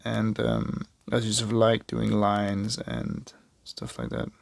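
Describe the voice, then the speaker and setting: A man's voice: lowish voice, very animated, slow pace, vocal fry, no echo. A young man from Denmark with a Danish accent, chatting casually while he draws, rambling and joking at his own expense. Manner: rambling, chatty, self-mocking, many fillers and restarts. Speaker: art vlogger. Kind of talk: casual chat while drawing.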